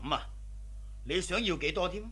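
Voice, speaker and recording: A man's voice: sits high, expressive, normal speed, fairly bright tone, slightly rough, exaggerated voice. An actor playing a hearty, shrewd middle-aged boss and indulgent father in a radio drama, effusive and colloquial. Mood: assuring, businesslike.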